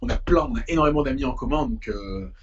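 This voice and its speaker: A young man talks casually in an interview, his voice very husky and gravelly. He speaks in a slangy, halting conversational way, and the audio sounds a little muffled. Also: fairly high pitch, huge pitch swings. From Paris, France. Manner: informal, slangy, hesitant storytelling. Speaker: musician being interviewed.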